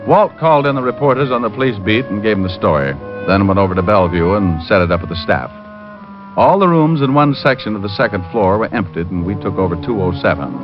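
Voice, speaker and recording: A man's voice: lowish voice, expressive, fast, lightly acted. A man playing a cool, wisecracking private detective in a vintage radio crime drama. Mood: matter-of-fact. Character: wry, cool male private detective, narrating his case. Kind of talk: 1940s-50s radio detective drama acting. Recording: muffled old radio recording with music.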